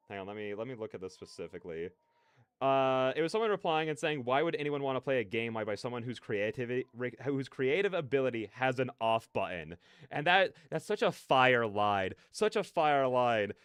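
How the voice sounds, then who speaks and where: A man's voice: medium pitch, animated, a little fast, fairly bright tone, smooth, no echo. A man livestreaming in a casual, rambling way, often interrupting himself with slangy asides into a close microphone. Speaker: livestreamer, content creator. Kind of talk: casual livestream rant and chat.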